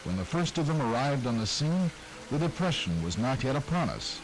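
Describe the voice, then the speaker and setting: A man's voice: medium pitch, normal speed, rough recording. A man with an American accent delivering scripted documentary narration as the narrator. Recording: rain noise over old broadcast audio. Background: steady rain.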